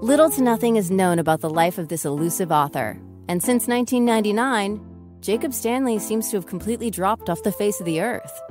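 A woman's voice: medium pitch, animated, fairly quick, over music, close to an everyday voice. A woman playing a frank podcast host in scripted investigative narration. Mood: matter-of-fact.

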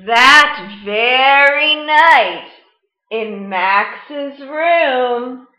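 Very slow, high-voiced woman reading to children. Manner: deliberate, sing-song, expressive teaching delivery for children. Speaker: children's librarian storytime host. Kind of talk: children's storytime read-aloud. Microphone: webcam or laptop mic at arm's length. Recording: roomy, slightly dull webcam sound.

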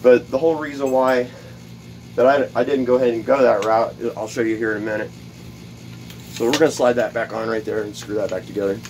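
Man, DIY host at his workbench, plain mid-pitched voice, loose and rambling, faint shop hiss behind him. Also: steady tone, a little fast. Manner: rambling, repeats words, many fillers. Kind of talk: casual workshop how-to demonstration. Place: cluttered home workshop at a workbench.

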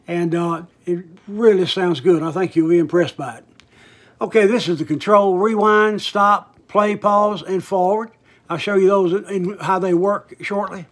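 A man giving a casual, folksy product demonstration as a seller, explaining in a hesitant, rambling way. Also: sits high. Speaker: online seller demonstrating vintage audio gear.

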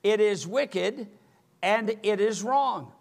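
A man's voice: sits high, takes it slowly, vocal fry. An older man preaching a sermon from a church pulpit, speaking emphatically and gesturing as he goes. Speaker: pastor. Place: church sanctuary with empty pews.

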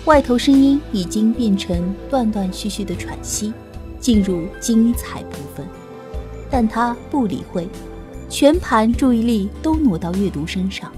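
High-pitched, expressive woman narrator. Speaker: audiobook narrator. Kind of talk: scripted novel narration. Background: faint music.

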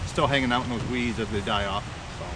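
A man's voice: medium pitch, fairly even intonation, fast talker, poor audio. A man giving casual, matter-of-fact fishing commentary outdoors on a boat, picked up by a camera a few feet away. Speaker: fishing vlogger. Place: outdoors on a small boat on a lake. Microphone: action camera mounted on the boat, a few feet away.

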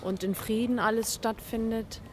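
A middle-aged woman speaking in a measured, thoughtful way with fillers, into a handheld mic in a town square. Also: on the high side, steady tone, a little mellow, slight background noise. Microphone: interviewer's handheld mic.

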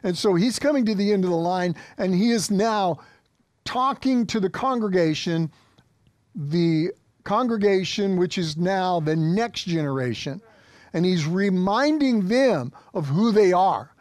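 A man's voice: high-pitched, darkish tone, dry recording, studio quality. An American man in his fifties preaching a sermon as a pastor, exhorting with emphatic repetition. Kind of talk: sermon to an online congregation. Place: small indoor church studio set.

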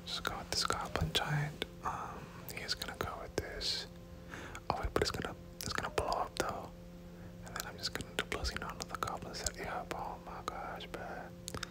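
Whispered voice, ASMR gameplay commentary, casual gamer slang, repeated words, close mic. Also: normal speed. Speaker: gaming video host.

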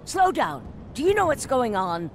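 Older no-nonsense game character, high and hugely animated, brusque, commanding, noisy street behind. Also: female voice, normal speed. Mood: brisk, unflustered. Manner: brusque, bossy. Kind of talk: video game voice acting.

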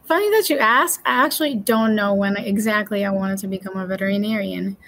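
A woman's voice: medium pitch, fairly quick, a bit bright. A woman in her twenties from Puerto Rico, a veterinary student answering interview questions in a cheerful, earnest way.

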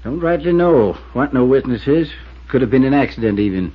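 An actor playing a glum, middle-aged small-town constable with a rural American accent, husky and terse, in a 1950s radio drama. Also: male voice, mid-range voice, animated, natural voice. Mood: stubborn, grim. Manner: folksy, terse, slow to commit. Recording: muffled 1950s radio recording.

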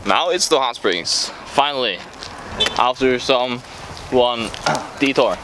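A man's voice: medium pitch, slow pace. A man chatting casually while vlogging a road trip outdoors, laughing often. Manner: laughs often, relaxed banter. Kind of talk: casual travel vlog chat. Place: outdoors at a canyon and hot springs. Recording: noisy outdoor camera audio.

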